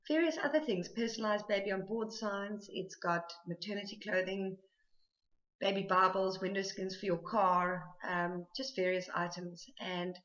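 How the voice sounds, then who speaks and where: A woman's voice: medium pitch, fairly bright tone. South african woman rambling to camera, lots of ums, kinda dull lo-fi sound. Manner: chatty and rambling, many ums and restarts. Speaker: vlogger. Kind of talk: casual to-camera chat.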